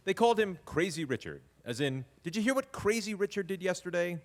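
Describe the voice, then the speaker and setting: An actor playing a grandson fondly narrating family history in a wry storytelling manner on stage. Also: male voice, high-pitched, animated, a little fast. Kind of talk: dramatic monologue narration before live audience.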